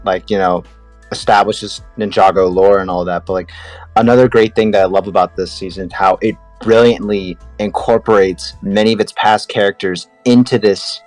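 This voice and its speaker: A man with a fairly low voice talks casually in a fan podcast discussion. He gushes with enthusiasm, and music plays behind him. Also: steady tone, normal speed, no echo, low-quality recording. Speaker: podcast panelist.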